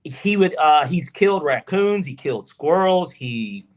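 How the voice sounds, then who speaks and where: An emphatic Southern man chatting on a sports podcast, his fairly high voice swinging up and down in pitch. He argues his points with energy and drops the odd curse. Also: a little slow. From Georgia, US South. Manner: emphatic, animated, swears freely. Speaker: podcast co-host. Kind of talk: casual sports podcast chat.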